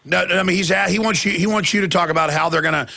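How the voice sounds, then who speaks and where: A man's voice: high-pitched, rapid-fire, vocal fry, sharp tone, a little hiss or hum. A man hosting a talk radio show, sarcastic and combative, taking calls.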